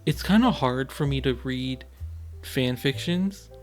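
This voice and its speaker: A mid-pitched man from the United States hosts a laid-back podcast chat. He laughs a lot and peppers his talk with "like". Faint music plays under him. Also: unhurried. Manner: laughs often, heavy use of "like". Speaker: podcast host.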